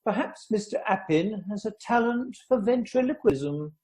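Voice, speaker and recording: A man's voice: high voice, lively intonation, normal speed, darkish tone. A man playing an arch upper-class house guest in a radio play, wordy and drily mischievous. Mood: sceptical. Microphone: video call.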